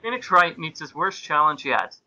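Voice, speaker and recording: A man's voice: on the high side, very animated, moderate pace, bright, forceful tone, muffled recording. A man hosting and narrating a comic amateur reading of fan fiction, in an amused, mock-serious way. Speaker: podcast host and narrator.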